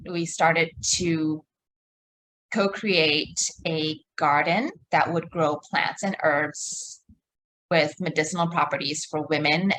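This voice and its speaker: A woman in her forties from Malaysia speaks in an unhurried, reflective way, pausing often to find her words. Her voice sits a little low and she never rushes. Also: a bit bright, low-quality recording. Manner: slow, thoughtful, with pauses and fillers. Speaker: guest, co-creator of a womb garden. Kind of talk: podcast interview, reflective storytelling.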